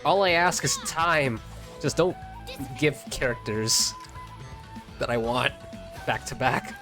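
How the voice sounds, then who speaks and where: A man giving casual reaction commentary as a streamer, halting and often repeating his words. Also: medium pitch, lively intonation, music in the background.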